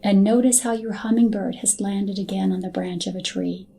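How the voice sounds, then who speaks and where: A woman narrating a scripted hypnotic guided meditation in a soothing, soft and unhurried manner. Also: mid-range voice, fairly even intonation, vocal fry, breathy edge. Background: background music.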